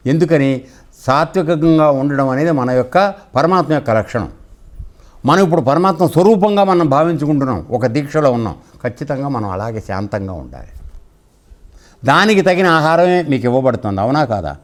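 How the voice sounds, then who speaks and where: A man's voice: mid-range voice, animated, brisk. A man giving a spiritual discourse in a conversational, didactic way, often checking that listeners follow.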